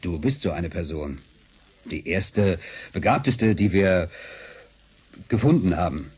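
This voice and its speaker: An actor playing a kindly, patient scientist in a radio drama, with a husky voice and a hesitant, explanatory manner. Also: male voice, deep voice, lively intonation, slow. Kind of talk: German radio drama acting.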